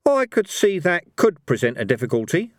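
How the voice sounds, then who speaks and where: Storybook role: male reader plays cheeky aged warrior, brash joker, pitched well up, animated. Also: moderate pace, no room sound, clean studio sound. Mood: sly, bold. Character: Cohen, cocky old barbarian hero, irreverent joker.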